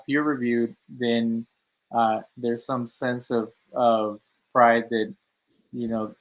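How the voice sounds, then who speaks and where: Flat-toned Mexican-born man, halting. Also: on the low side, rough recording. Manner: halting, many fillers and restarts. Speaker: author guest. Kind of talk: interview answers.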